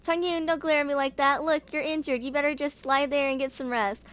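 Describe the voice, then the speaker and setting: Woman reading as a mischievous teenage boy, high-pitched. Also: steady tone, brisk, a bit bright. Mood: teasing. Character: mischievous, chatty teenage boy teasing his friend.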